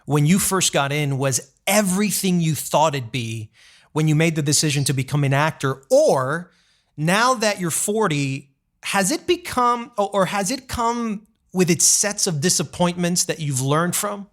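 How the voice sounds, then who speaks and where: Curious male interviewer, slightly high voice. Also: lively intonation, measured pace, fairly bright tone. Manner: curious, friendly, long winding questions. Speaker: interview host. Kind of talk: interview questions.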